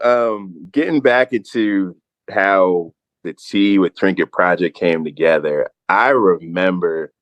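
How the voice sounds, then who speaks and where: Male podcast host and producer, low voice; relaxed rambling talk over a dull, dry recording. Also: no echo. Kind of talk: casual podcast chat. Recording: dull, muffled mic.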